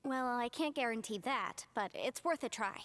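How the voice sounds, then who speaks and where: A woman's voice: fairly high pitch, expressive, normal speed, fairly bright tone. A woman voicing a polite, thoughtful young female lawyer in a video game, conversational and articulate. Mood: friendly, tactful.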